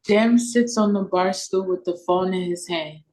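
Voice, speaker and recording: A woman's voice: medium pitch, measured pace, darkish tone. A woman reading scripted stage directions for an audio play over a video call.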